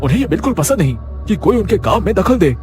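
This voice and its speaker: Man playing an angry, high-pitched domineering husband. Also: big pitch swings, fast talker, a little mellow, soft background music. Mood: insistent. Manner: scolding, insistent, urgent. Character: angry, domineering husband who runs a hospital.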